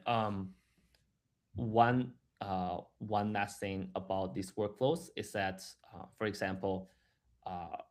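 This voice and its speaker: A man giving a live, explanatory software demo in a halting way, repeating words and restarting as he goes. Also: low voice. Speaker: software product presenter.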